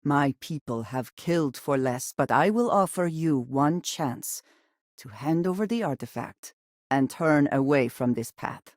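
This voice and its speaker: An actress playing a guarded, earnest female spacefaring companion in a video game, speaking in formal, measured wording. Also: extremely low pitch, darkish tone, no echo, studio quality. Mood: grave, suspicious. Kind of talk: video game character dialogue.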